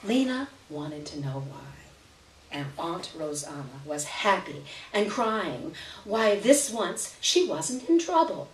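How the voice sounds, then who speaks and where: A woman's voice: on the low side, sing-song, slow pace. A woman giving a live dramatic reading of literary fiction as the story's narrator. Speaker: story reader. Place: live reading event before an audience. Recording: roomy, distant, hissy live recording.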